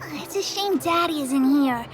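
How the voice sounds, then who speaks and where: A woman voicing an excitable, cheeky little girl in a video game, eager and childlike in manner. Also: high-pitched, normal speed, natural voice. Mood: excited, eager. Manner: eager, childlike, speaks of self in third person. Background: game music.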